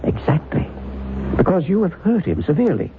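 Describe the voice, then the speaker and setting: An actor playing a calm psychiatrist in an old-time radio drama, questioning in a deliberate, clinical and authoritative manner. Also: male voice, fairly high pitch. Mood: analytical, pressing. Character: calm, probing male psychiatrist, measured and authoritative. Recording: thin, muffled old radio recording, faint noise.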